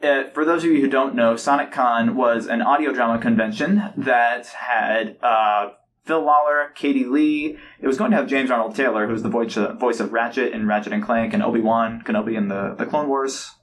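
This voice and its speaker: A man's voice: medium pitch, moderate pace. Young man podcasting over noticeable background noise. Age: young adult. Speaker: podcast host. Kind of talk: casual podcast chat.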